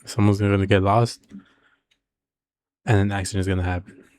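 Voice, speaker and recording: A man's voice: low-pitched, little pitch movement, normal speed, a little mellow. A man hosting a reaction video, giving casual, informal commentary and musing aloud with questions and theories.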